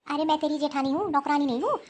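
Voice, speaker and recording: Woman playing an elder daughter-in-law, young, quarrelsome, sharp rude retorts, very high voice. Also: fast, soft background music, natural voice. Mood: defiant. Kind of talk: homemade family skit acting.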